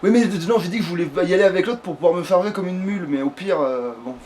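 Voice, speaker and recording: A man's voice: sits high, racing, a little mellow. A man doing casual, rambling let's play commentary with a friend, swearing crudely as he goes. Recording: background noise.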